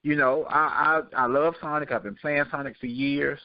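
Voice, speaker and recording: A man's voice: fairly high pitch, fairly even intonation, moderate pace, no room sound. Guy hosting a podcast, opinionated, says stuff twice for emphasis, kinda muffled and dry sound. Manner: emphatic, repeats himself for emphasis. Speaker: podcast host. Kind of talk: casual opinionated chat. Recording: dull, muffled lo-fi audio.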